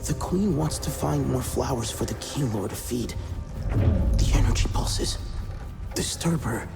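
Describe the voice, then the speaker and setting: Young alien hunter, creaky and earnest. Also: male voice, mid-range voice, a little slow, music in the background. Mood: tense, focused. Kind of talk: dramatic video game acting.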